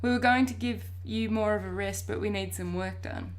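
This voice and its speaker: A woman's voice: mid-range voice, steady tone, moderate pace, close to an everyday voice. A woman voicing a breezy, teasing middle-aged dad in a storybook, casual and challenging.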